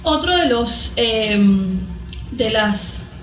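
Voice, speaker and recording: Venezuelan lady therapist explaining stuff point by point, kinda high voice, roomy echo. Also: expressive, very slow. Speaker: therapist and coach, interview guest. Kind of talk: explanatory interview answers.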